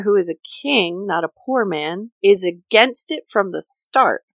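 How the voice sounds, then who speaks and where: A woman's voice: mid-range voice, a bit bright, no echo. Woman, podcast host, casual discussion, jokey and informal, very dry close sound. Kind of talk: casual scripted discussion of folklore.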